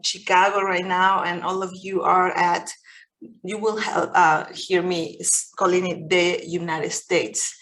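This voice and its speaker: A middle-aged woman from Mexico with a Mexican Spanish accent, whose first language is Spanish, gives a reflective public lecture over a video call, gently and with many pauses and restarts. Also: fairly low pitch, rough recording. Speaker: guest lecturer.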